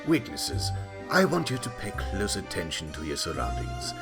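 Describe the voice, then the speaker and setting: Man acting haughty, deep-voiced rat prosecutor. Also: big pitch swings, moderate pace. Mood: formal, commanding. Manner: formal, haughty, threatening. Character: stern, sly male rat prosecutor in an afterlife court. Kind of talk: audio drama acting. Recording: loud music under the voice.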